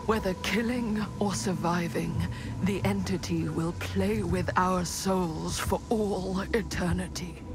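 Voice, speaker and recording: An actress playing a grave, portentous narrator who foretells doom in a horror video game. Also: female voice, lowish voice, fairly even intonation, takes it slowly, music in the background. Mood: solemn, foreboding. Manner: solemn, portentous. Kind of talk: scripted dramatic game narration.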